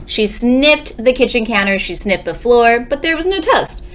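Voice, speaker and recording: A woman's voice: a little high, lively intonation, normal speed, a little hiss or hum. A woman, a second grade teacher, reading a storybook aloud expressively and explaining it for young listeners. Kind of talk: expressive children's read-aloud.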